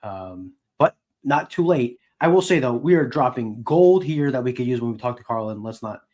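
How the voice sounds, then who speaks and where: A man co-hosting a sports podcast, giving casual analysis in a wry, measured way. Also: medium pitch, lively intonation, mellow.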